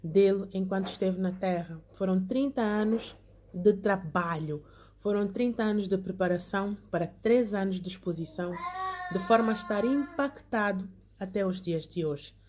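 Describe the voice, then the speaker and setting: An earnest young woman leads an online Bible class. Her voice is mellow and soft-edged, sitting at an ordinary middle pitch. She reads from her notes and keeps drawing her listeners in by asking them to say amen. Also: normal speed, a little hiss or hum. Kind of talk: online Bible lesson, partly read from notes.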